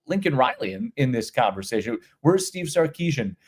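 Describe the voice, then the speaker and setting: A middle-aged man chatting casually as a podcast co-host, voice sitting at an ordinary pitch. He rambles, doubles back on himself and repeats words as he thinks aloud. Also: very animated, normal speed, husky. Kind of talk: casual sports talk.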